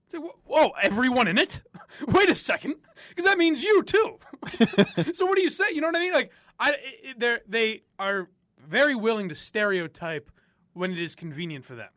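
High, lively talk-radio man, laughing rants. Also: moderate pace, no room sound, studio quality. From Boston area. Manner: animated, laughing, ranting. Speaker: sports radio host.

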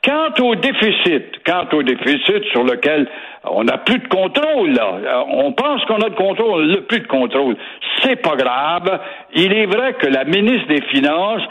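A man's voice: fairly high pitch, a bit bright, slightly rough, lo-fi sound. A man with a Québécois accent giving opinionated, sarcastic commentary as a regular radio guest. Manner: sarcastic, mocking repetition.

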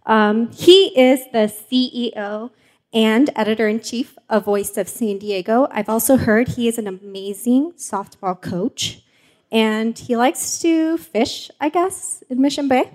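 Female panel host from Mexico, slightly higher-pitched voice, informal and chatty delivery for a live conversation. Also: a little slow, a little mellow. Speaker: host and journalist. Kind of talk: casual live panel hosting.

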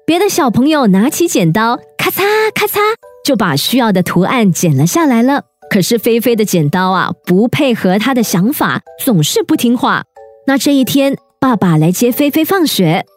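A woman storyteller with a fairly high, very lively voice addresses young children directly. She narrates expressively as host, over light children's music.

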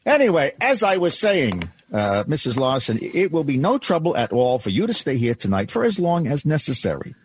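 An actor playing a helpful, scheming department store employee in an old-time radio drama, with folksy, persuasive patter. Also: male voice, fairly high pitch, very animated, moderate pace, hardly any pauses, slight background noise.

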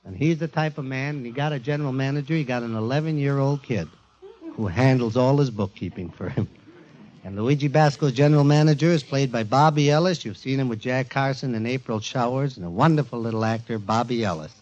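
A man presenting a show to a studio audience in an emphatic, informal, jokey warm-up style, on a 1940s radio recording. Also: medium pitch, fairly quick, highs cut off. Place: before a live studio audience.

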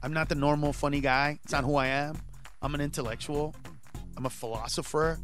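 A stand-up comedian in his forties, born in Honduras, talking animatedly as an interview guest and rising to shouts when he acts out his bits. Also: on the high side, steady tone, fairly quick, soft background music. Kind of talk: casual interview chat.

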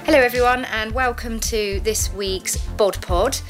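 A woman's voice: a little high, a little slow, over loud music. A woman, hosting a casual interview and putting questions to a guest. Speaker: podcast host, interviewer.

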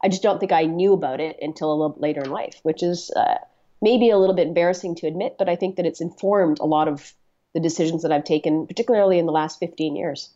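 A woman's voice: sits low, quick pace, mellow, highs cut off. A middle-aged woman from Canada whose first language was Swahili. She talks earnestly as an interview guest, with many fillers and repeated words. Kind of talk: reflective conversational interview.

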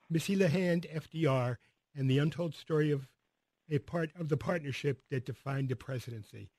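A male radio host with a slightly high voice reads his station breaks and guest introductions in an upbeat, announcer-like way. The studio sound is dry and close. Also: darkish tone, dry recording. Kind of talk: radio talk show hosting. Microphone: studio microphone.

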